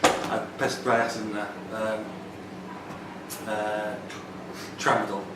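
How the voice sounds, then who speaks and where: Slow-talking male quiz contestant, very noisy room. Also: lowish voice, level tone. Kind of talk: short casual quiz answers. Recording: distant, echoey pub, loud noise.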